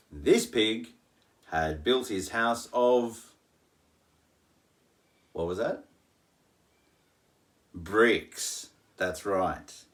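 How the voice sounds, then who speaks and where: A middle-aged man reads a rhyming children's story at an unhurried pace. His pitch swoops widely, and he adds dramatic pauses and playful asides. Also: fairly bright tone. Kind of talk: animated read-aloud of children's rhyming story. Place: small home living room, in an armchair.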